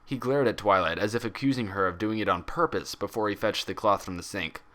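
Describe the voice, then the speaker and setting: A man narrates a story expressively from a script. His voice sits fairly low, with a bright, forceful tone that carries the prose. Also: a little fast. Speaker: fan fiction reader.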